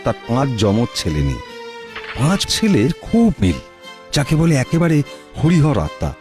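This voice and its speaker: A man narrating a folk-tale cartoon in a deliberate, expressive storytelling manner. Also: mid-range voice. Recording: music behind the voice. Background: background music.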